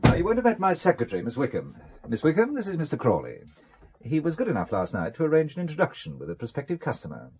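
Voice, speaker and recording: A wry businessman in a radio thriller, with a lively, shifting pitch and a slightly husky voice. He is guarded, dry and sardonic, as if he trusts nobody in the conversation. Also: fast, heavily acted. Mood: dry, probing. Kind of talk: British radio drama acting.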